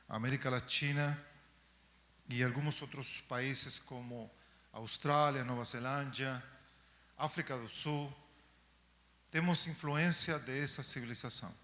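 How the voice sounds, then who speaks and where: A man from Chile with a Chilean Spanish accent speaking in a second language, giving an academic talk to students, unhurried, pausing often and tagging phrases with "não?". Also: mid-range voice, sharp tone. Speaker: guest lecturer. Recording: long hall reverb.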